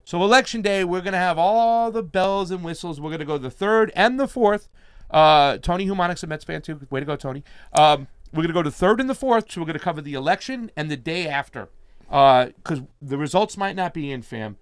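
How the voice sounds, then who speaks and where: A man's voice: a little high, animated, brisk, clean tone, no room sound. A man hosting a live political commentary stream, chatting casually and reading out viewer comments. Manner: chatty, reads viewer chat aloud. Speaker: host.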